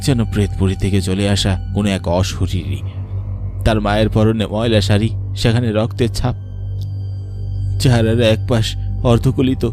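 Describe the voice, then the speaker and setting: A man's voice: fairly low pitch, level tone, measured pace, pauses often, a little mellow, faint music behind. A man narrating a scripted horror story in a dramatic storytelling style.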